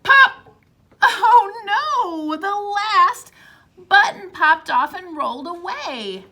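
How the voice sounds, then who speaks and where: A woman teacher reading a picture book aloud to young children in an animated, exclamatory storytime voice. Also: very high-pitched, extremely slow.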